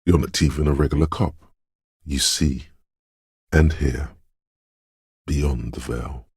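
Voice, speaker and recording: Mysterious game inner voice, bottomless bass pitch, close dry sound, hushed, portentous, ominous, deliberate. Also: male voice, husky, no echo, full character voice. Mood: dreamy, searching. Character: brooding inner voice of intuition, ominous, dreamy, cryptic. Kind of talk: scripted video game narration.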